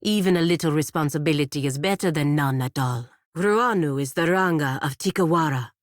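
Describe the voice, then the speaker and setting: An actress playing a proud, duty-bound godlike knight in a video game, speaking formally and gravely. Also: female voice, deep voice, brisk, dry recording, clean studio sound, natural voice.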